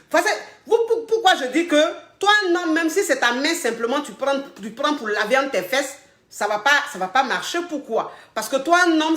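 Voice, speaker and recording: A woman with a slight head cold, giving coaching advice on a live stream, repeating herself and bursting out loudly on key points. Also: on the high side, echoey room. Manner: emphatic and repetitive, loud bursts on key points. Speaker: relationship coach.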